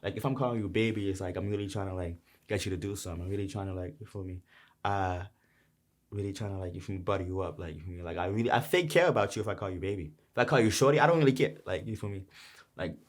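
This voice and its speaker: A man's voice: low voice, fast talker. A young man from Brooklyn with a New York accent, casually talking through the lines of his song in a rambling, slang-heavy way. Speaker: rapper explaining his song. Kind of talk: casual lyric breakdown.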